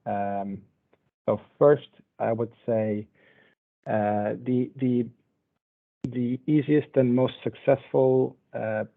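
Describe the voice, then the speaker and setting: A young man from Portugal speaks in a relaxed, conversational way as he presents to an online audience. His voice sits at an ordinary pitch. It comes through narrow and tinny, like a phone line on a video call. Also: slow, gravelly, pauses often. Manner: relaxed, conversational, loosely paced. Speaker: panel speaker.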